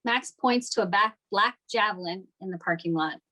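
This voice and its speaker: A woman's voice: medium pitch, moderate pace, pushed and bright. A woman narrating the stage directions of a script at a table read.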